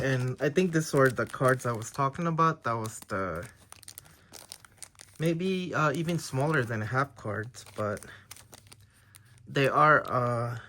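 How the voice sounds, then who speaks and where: A man whose first language is Tagalog leafs through his trading cards in a relaxed, unhurried way. He sounds tentative, often unsure of what he has. Faint crinkling of card packs sits behind his voice. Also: medium pitch, a little hiss or hum. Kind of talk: casual show-and-tell of a card haul.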